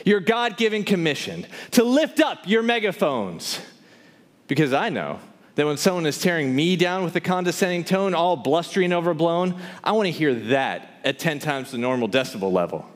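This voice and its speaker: A man performing theatrical spoken word poetry to a live audience, with an impassioned, emphatic, rhythmic rhyming delivery. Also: high voice. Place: large hall, live before an audience. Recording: reverberant hall, live stage performance.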